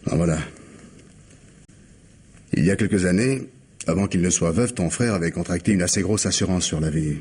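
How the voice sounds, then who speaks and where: An actor playing a scheming, persuasive husband in a French radio drama, with an accent from France and an insistent, coaxing way of reasoning. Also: male voice, low-pitched, moderate pace, long pauses, a little mellow, slightly rough. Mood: insistent, explanatory. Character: scheming, persuasive husband desperate to save his home.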